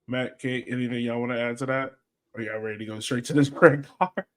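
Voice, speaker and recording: A man's voice: mid-range voice, fairly even intonation, brisk, fairly bright tone. Man hosting a casual soccer chat. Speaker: soccer podcast host.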